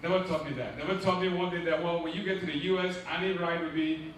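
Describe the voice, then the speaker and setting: A man's voice: fairly high pitch, steady tone, fast. Guy giving a preachy pep talk, middle-aged, sounds far from the mic, big echoey hall, lots of hiss. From Liberia. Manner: preacherly, asks the crowd questions and rises to loud emphatic peaks. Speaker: nonprofit founder giving a launch speech. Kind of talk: motivational speech to an audience. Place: large echoey event hall.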